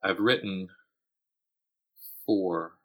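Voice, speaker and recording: A man with a very deep, noticeably husky voice speaks as a guest in a relaxed interview. He is self-deprecating and works out his thoughts aloud in a loose, conversational way. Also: extremely slow, phone-quality sound. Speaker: interview guest, novelist and audio drama writer.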